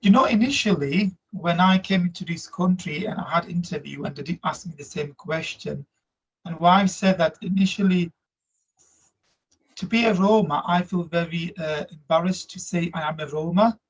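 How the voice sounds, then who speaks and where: A man in his thirties from Slovakia with a Slovak accent, answering interview questions earnestly in halting English. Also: high-pitched, breathy edge. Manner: earnest, reflective, halting non-native grammar. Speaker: guest, migrant workers support officer. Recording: dull lo-fi audio.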